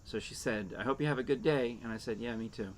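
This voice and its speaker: A man's voice: mid-range voice, fast, poor audio. An older man chatting casually and wryly as a podcast co-host on an outdoor porch. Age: 50s or 60s. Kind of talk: casual chat. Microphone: shared desktop microphone on table. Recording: clear ambient noise behind the voice.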